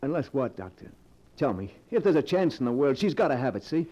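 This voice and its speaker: An actor playing a desperate working-class husband in 1940s radio melodrama style, urgent, blunt and slangy. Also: male voice, medium pitch, very animated, fairly quick, mellow. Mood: anxious. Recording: muffled 1940s radio recording.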